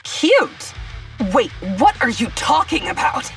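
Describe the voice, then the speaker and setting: A woman's voice: sits high, very animated, slow, fairly bright tone, far from the mic, faint music behind, full character voice. A woman voicing a sly, proud young cat-person mercenary in a video game, haughty and exasperated. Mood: flustered.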